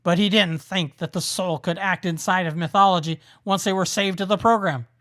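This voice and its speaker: A woman's voice: on the low side, steady tone, normal speed, a bit bright. A woman narrating a scripted audio drama as the storyteller.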